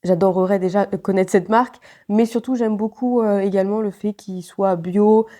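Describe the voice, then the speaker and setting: A woman's voice: medium pitch, steady tone, moderate pace, dark tone. A woman with a head cold and a stuffy, nasal voice, chatting casually to camera in a vlog, with many fillers and restarts. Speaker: vlogger.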